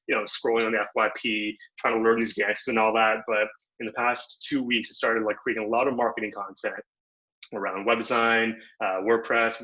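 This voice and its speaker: Young guy running an online workshop, low but bright voice, chill slangy talk, muffled call audio. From Bangladesh. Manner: upbeat, casual slang, frequent fillers. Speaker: workshop presenter. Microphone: video call. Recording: dull lo-fi video call audio.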